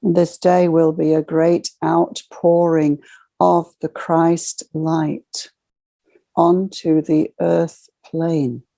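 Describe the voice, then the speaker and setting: A woman leading a channeled guided meditation, speaking as a solemn spiritual guide in measured, ceremonial short phrases. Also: low-pitched, flat delivery, creaky, mellow, no echo.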